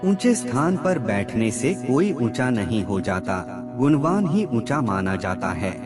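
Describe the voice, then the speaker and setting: A man reading scripted moral aphorisms as a narrator, in a measured, formal recitation. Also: medium pitch, sing-song. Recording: music bed under the voice. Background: background music.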